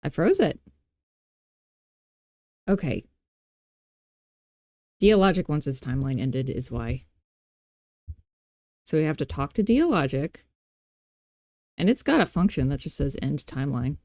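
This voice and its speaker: A woman hosting a software tutorial, thinking aloud in an informal, playful, self-deprecating way into a close mic. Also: low-pitched, big pitch swings, unhurried, pauses often, no room sound. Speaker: tutorial host.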